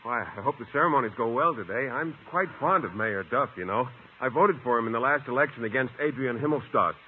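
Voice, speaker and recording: An actor playing a mild-mannered, oblivious schoolteacher, polite, hesitant and earnest, in a 1950s radio sitcom. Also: male voice, medium pitch, animated, fairly quick, slight background noise, lightly acted. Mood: amiable, puzzled. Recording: muffled old-time radio broadcast with music.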